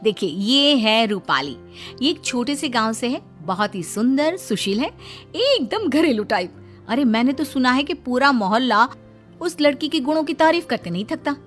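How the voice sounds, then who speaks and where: A woman's voice: high-pitched, big pitch swings, moderate pace, soft background music. A woman voicing a scheming elder daughter-in-law in a cartoon, talking in a sugary, coaxing way. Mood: sweet-talking. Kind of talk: cartoon voice acting.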